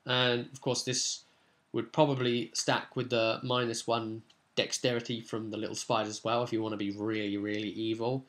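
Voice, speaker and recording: A man's voice: medium pitch, level tone, moderate pace, a bit bright. Casual, rambling man. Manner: rambling, informal. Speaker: game master recapping a session. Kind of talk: casual explanatory vlog talk.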